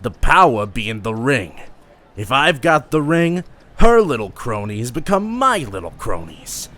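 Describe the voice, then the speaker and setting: A man's voice: medium pitch, sing-song, measured pace, sharp tone, pitched above the performer's own voice. A man doing a venomous scheming crime boss. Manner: hissing, commanding.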